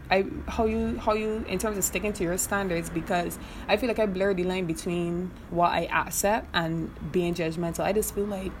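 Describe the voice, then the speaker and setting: A woman's voice: fairly low pitch, a little hiss or hum. A young woman with a Barbadian accent hosting a podcast, talking frankly and casually, swearing freely and often asking "you know what I mean.". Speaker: podcast host. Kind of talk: casual monologue.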